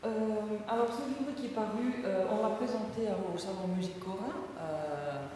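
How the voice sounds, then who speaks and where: A woman's voice: medium pitch, normal speed, mellow, slight background noise. A woman whose first language is Catalan presents her book to an audience in a lecture, hesitant and full of fillers and restarts. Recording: echoey hall from far off.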